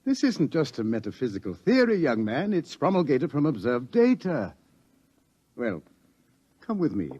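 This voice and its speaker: A middle-aged scientist in a vintage radio play, grave and commanding. His delivery swings widely in pitch as he lectures deliberately about doom. The recording is dull and lo-fi. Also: wildly swooping pitch, warm tone, breathy edge, no room sound. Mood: calm, commanding. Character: authoritative older male scientist explaining impending doom. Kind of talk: old radio drama acting.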